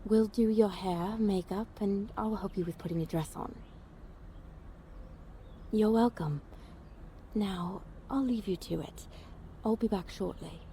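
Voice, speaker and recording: Female actor as dutiful royal servant for fantasy roleplay: soft-toned, medium pitch, polite and helpful. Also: a little slow, long pauses, close to an everyday voice. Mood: cheerful, attentive. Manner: deferential, helpful. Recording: faint background noise.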